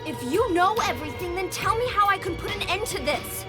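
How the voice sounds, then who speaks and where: A woman's voice: very high voice, lively intonation, brisk. A woman playing a defiant, distressed young horror game heroine, blunt and emotional in her delivery. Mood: angry, demanding. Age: young adult. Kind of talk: video game voice acting. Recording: loud music over the voice.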